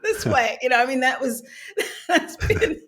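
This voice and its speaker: A woman's voice: sits high, big pitch swings, measured pace, a bit bright, a little hiss or hum. A middle-aged woman speaking as an interview guest, reflective and laughing often, with many restarts. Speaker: guest, actress and author. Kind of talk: conversational interview.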